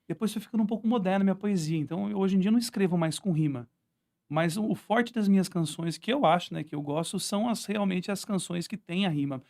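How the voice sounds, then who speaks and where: A man's voice: sits high, a little mellow. A man with a Brazilian accent telling stories as a musician guest in a casual interview, often checking in with little tags. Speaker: guest musician, singer-songwriter.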